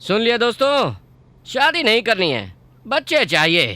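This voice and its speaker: An actor playing a pushy, impatient, flirtatious male matchmaker in a comic dubbed film, with excitable exclamations and abrupt swings. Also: very high-pitched, normal speed, no echo, full character voice. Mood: pushy, cheeky. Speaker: airline passenger and matchmaker.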